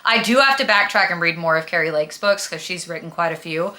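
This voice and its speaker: Bright forceful lady doing a chatty book vlog, super dramatic n gushy, slangy. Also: fairly low pitch, fairly quick. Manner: gushing, dramatic, emphatic, slangy. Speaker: book vlogger.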